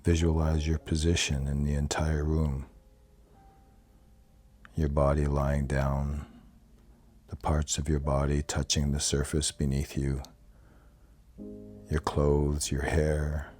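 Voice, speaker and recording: A man with a very deep voice guides a meditation in a soothing, measured way. His delivery is slow and unhurried, with little rise or fall in pitch. He sounds like a calm relaxation instructor. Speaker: yoga nidra guide. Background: soft plucked guitar music.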